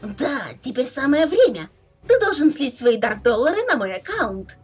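A woman's voice: high voice, big pitch swings, moderate pace, slightly rough, faint music behind, full character voice. A woman voicing a playful, bossy teenage alien troll girl in a theatrical webcomic dub. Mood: teasing, excited. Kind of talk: fan dub of a webcomic chat.

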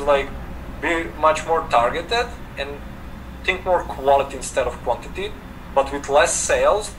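A young man from Serbia with a Serbian accent, a native Serbian speaker, talking casually as an interview guest, full of "like" fillers. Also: mid-range voice. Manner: relaxed, frequent "like" fillers, gestures while talking. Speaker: guest, affiliate marketer. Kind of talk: casual video call interview. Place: home room indoors. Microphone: video call webcam. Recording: distant webcam mic with air conditioner hum.

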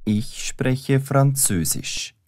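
A man speaking slowly and distinctly in a beginner language lesson, spelling out letters with example names. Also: mid-range voice, dry recording, clean studio sound. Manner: spells out letters slowly with a lively, sing-song melody. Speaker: language teacher. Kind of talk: slow, clearly spoken language lesson.

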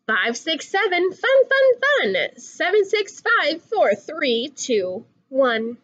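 Young female storybook reader, singsong and expressive, slow pacing, big pitch swings, slightly lo-fi recording. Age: young adult. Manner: animated, singsong rhyming delivery. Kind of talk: expressive picture book read-aloud.

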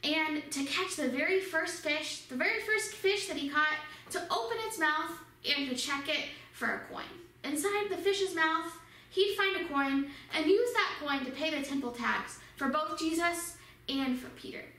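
Bright, animated woman teaching kids. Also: high voice, normal speed. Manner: animated storytelling, addressing children directly. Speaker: children's church teacher. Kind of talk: Bible lesson for kids. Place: small studio with red backdrop. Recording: echoey room, voice from some distance.

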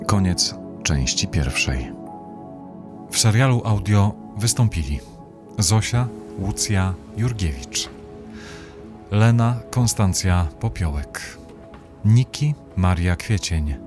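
A man's voice: sits low, lively intonation, takes it slowly, long pauses, music in the background. A man reading scripted end credits as an announcer.

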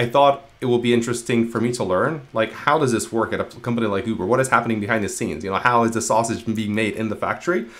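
A man in his thirties from Hungary talks at a brisk clip, stumbling over restarts and repeated words as he explains his work. He speaks on a video call through an earphone mic from a room at home, in a mid-range voice. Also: medium pitch, a bit bright. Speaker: guest, engineering manager. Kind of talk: casual interview talk. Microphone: earphone headset mic.

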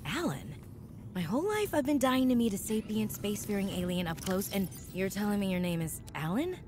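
Woman acting a wry, animated explorer. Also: mid-range voice, fairly quick, noisy background, close to an everyday voice. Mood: sarcastic, incredulous. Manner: sarcastic, conversational. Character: wry, expressive human woman explorer, sardonic. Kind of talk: scripted video game dialogue.

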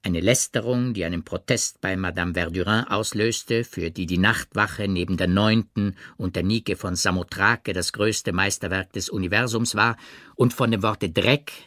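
A man narrating a literary audiobook in a measured, expressive way with precise phrasing. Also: low-pitched, no echo, studio quality.

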